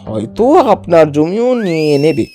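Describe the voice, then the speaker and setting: A man's voice: on the high side, big pitch swings, normal speed, mellow, faint music behind. A man voicing a scheming village son-in-law in a comic cartoon, falsely polite and ingratiating, often repeating his words. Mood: slyly insinuating. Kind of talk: exaggerated comic cartoon dialogue.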